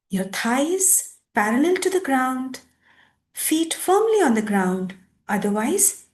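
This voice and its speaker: Meditation teacher, female, high voice with lilting intonation, measured phrasing and pauses. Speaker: meditation teacher leading a guided session. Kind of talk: guided meditation with reading aloud and instructions.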